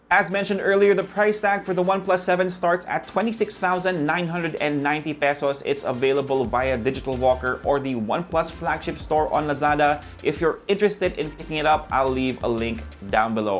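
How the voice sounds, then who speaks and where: A man reviewing phones in a relaxed, conversational way, talking straight to his viewers. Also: mid-range voice. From Philippines. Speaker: tech reviewer. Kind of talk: casual scripted tech review.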